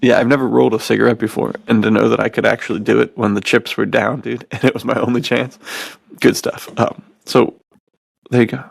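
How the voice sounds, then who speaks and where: A man's voice: mid-range voice, little pitch movement, fairly quick, vocal fry, breathy edge, dry recording. A man hosting a podcast, chatting casually in a laid-back, slangy way. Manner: laid-back slangy talk, often says dude. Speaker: podcast host.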